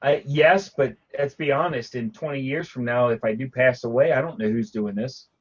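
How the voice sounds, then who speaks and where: A man's voice: mid-range voice, normal speed, breathy edge. Guy rambling on a collectors chat show, lots of restarts, kinda muffled lo-fi audio. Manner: rambling, frequent restarts and fillers. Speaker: podcast co-host. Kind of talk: casual panel chat about collecting.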